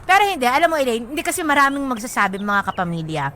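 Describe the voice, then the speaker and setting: A woman's voice: high voice, very animated, rapid-fire, bright, forceful tone, slight background noise. A woman hosting a radio advice segment in casual, playful banter, joking and switching between languages. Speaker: radio show host giving advice.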